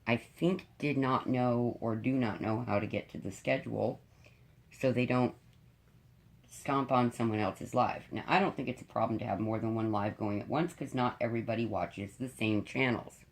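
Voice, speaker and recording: A middle-aged woman with a cold, sounding raspy, deep and congested, chatting casually to her viewers from home. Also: steady tone, moderate pace. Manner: chatty, rambling, frank. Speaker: diamond painting YouTuber.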